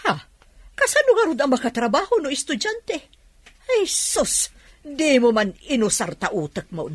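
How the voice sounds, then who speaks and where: A woman's voice: high voice, sing-song, moderate pace, dry recording. A woman with a Filipino accent playing a scolding, sceptical factory woman in a radio comedy-drama. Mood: scolding, incredulous. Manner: scolding, incredulous.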